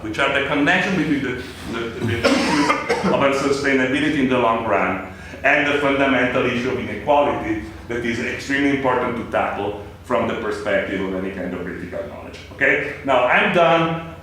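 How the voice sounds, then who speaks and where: A male lecturer speaks off the cuff in a very echoey room, picked up from a distance. His medium-pitched voice moves haltingly, with repeated words and pauses. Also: pushed and bright. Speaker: lecturer leading a seminar. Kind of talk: informal unscripted classroom address. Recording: echoey room, distant mic, background noise.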